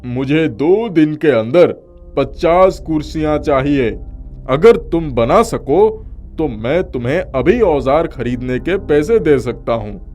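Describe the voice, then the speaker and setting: A man's voice: a little high, expressive, takes it slowly, darkish tone, soft background music. A man voicing a cunning, selfish factory owner in a moral story, brusque and demanding in manner. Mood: scheming, demanding. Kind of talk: acted dialogue in a Hindi moral story.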